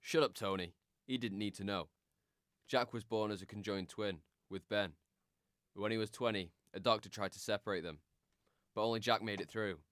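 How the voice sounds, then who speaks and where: A man's voice: lowish voice, fairly bright tone, smooth, no room sound, studio quality, natural voice. An actor playing a callous, greedy male circus owner in a radio drama, blunt and contemptuous.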